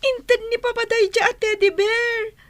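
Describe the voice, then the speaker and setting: A woman's voice: extremely high pitch, fairly even intonation, a little slow, fairly bright tone, no echo. A woman with an Ilocano accent playing a small, aggrieved little girl in a radio comedy drama, whiny and plaintive. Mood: hurt, whiny.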